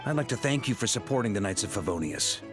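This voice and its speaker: A man's voice: fairly low pitch, animated, quick pace, close to an everyday voice. A man voicing a jovial, gracious knightly grandmaster with a courtly, effusive manner in a video game. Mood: grateful, jovial. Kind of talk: video game character acting. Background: loud game music.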